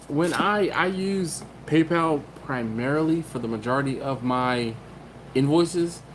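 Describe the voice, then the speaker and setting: A man's voice: medium pitch, fairly bright tone, slight background noise, rough recording. Chatty man hosting a livestream. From Maryland, US. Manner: rambling, laughs often, self-interrupting. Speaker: livestream host reading chat. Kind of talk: casual live chat.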